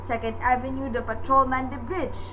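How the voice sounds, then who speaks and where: Bedridden wife played by a woman, radio suspense: high, anxious, demanding, melodramatic; slightly noisy lo-fi recording. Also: level tone, fairly quick, close to an everyday voice. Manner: fretful, breathless, melodramatic exclamations. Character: nervous, demanding bedridden wife, frightened and imperious. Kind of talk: old-time radio suspense acting. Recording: dull lo-fi audio with hiss.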